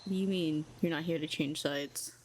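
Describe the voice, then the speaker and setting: A proud telepathic villainess, performed by a woman in an audio roleplay, speaks in a cool, sardonic, needling way. Her voice sits a little low. A faint hiss runs under the recording. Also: steady tone, moderate pace, poor audio. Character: proud telepathic female villain, cynical, lonely.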